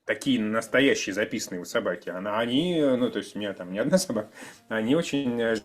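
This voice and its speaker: A man's voice: on the low side, expressive, fast. A man in a casual podcast discussion, hesitantly searching for his words. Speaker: podcast participant. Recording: music in the background. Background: music.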